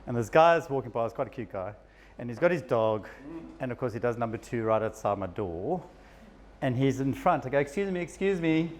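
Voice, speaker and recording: A South African man speaking to a room of listeners in a loose, chatty way, poking fun at himself as he goes. His voice is at a middle pitch, and faint noise hums behind him. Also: expressive, a little fast. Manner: chatty, self-deprecating, with frequent laughs. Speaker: psychiatrist giving a talk. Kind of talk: informal live talk to an audience. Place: event room with an audience.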